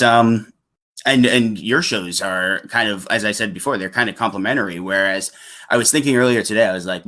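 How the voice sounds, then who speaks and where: A man's voice: lowish voice, bright, forceful tone. A man hosting a podcast, chatting about video games in an informal, enthusiastic and appreciative way. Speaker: podcast host.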